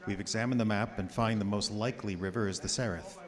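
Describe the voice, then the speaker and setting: An actor playing an earnest doctor, giving clipped diary entries in a live radio horror drama. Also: male voice, lowish voice, fairly even intonation, fast, big-room sound, natural voice. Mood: businesslike. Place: small theater stage. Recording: noisy live theater broadcast.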